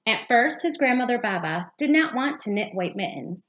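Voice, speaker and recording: A woman's voice: a little high, very animated, moderate pace, muffled recording. A woman, a teacher, reading a picture book aloud for young children. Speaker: second-grade teacher.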